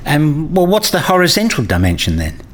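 A man's voice: a little high, wildly swooping pitch, a little fast. A man playing a curious, earnest father and hobby researcher in a radio play, with an inquisitive manner. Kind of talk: radio play dialogue and narration.

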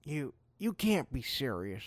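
A woman's voice: extremely low pitch, very animated, a little slow, pitched below the performer's own voice, exaggerated voice. A woman playing a vengeful, pompous bandit leader in a comic fantasy audio drama, declaiming threats and easily flustered. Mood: commanding, flustered.

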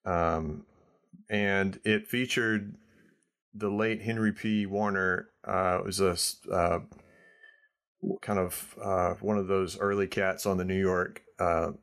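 A man co-hosting a podcast, chatting in an easygoing way and often pausing with "uh" and "um". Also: low voice, steady tone, fairly bright tone. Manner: easygoing, hesitant, filled with "uh" and "um". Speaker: podcast co-host, jazz musician. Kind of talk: casual chat.

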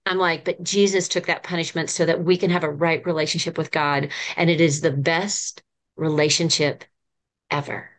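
A woman in her fifties speaks as a podcast guest, with a slightly low voice. She tells her stories with earnest, eager warmth of conviction. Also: fairly even intonation, normal speed. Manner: earnest, enthusiastic storytelling. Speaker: children's ministry professor, guest. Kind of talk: podcast conversation.

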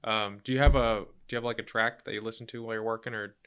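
A man's voice: lowish voice, steady tone, rapid-fire, a bit bright, smooth. A man interviewing on a call in an easygoing way, with many fillers like "like" and light laughs. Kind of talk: casual conversation on a call.

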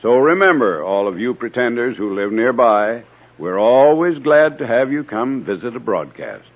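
American guy, old time radio announcer reading credits style, slightly rough voice, muffled recording. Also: medium pitch, expressive, moderate pace. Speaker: announcer and host. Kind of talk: 1950s radio announcing, scripted.

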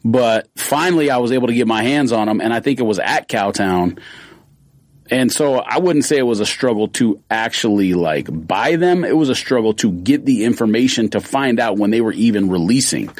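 A man co-hosting a casual podcast, blunt and teasing. He often trails off while searching for a word. His mid-pitched voice is recorded dry, with no room sound. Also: expressive, moderate pace, dry recording. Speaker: podcast co-host. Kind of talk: casual sneaker podcast chat.

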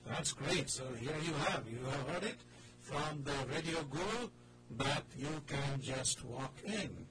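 A man's voice: on the high side, lively intonation, unhurried. A man with an Indian accent hosting a loose, informal radio talk show in a hesitant, discursive way. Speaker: radio drama club host. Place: radio studio with a group in the room. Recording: noisy radio recording with hum.

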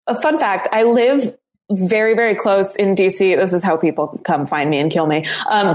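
A woman co-hosting a casual podcast, chatting playfully and laughing often. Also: mid-range voice. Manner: giggly and playful. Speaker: podcast co-host.

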